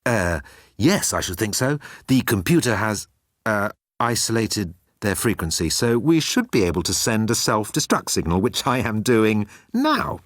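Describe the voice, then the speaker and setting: A man's voice: lowish voice, big pitch swings, measured pace, no echo, studio quality. A man voicing a flustered, shifty she-devil who hesitates and is eager to please, in a comic audiobook. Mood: evasive.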